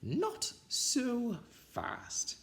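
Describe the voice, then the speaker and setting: A strict, nasty witch of a schoolmistress from a children's storybook, acted out by a man. She snaps and hisses her orders in a raised pitch, commanding and unpleasant, with big swoops in tone. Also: huge pitch swings, very slow, vocal fry, a bit bright, exaggerated voice. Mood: stern, menacing. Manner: snapping, hissing, commanding. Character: strict, unpleasant witch of a substitute teacher, woman.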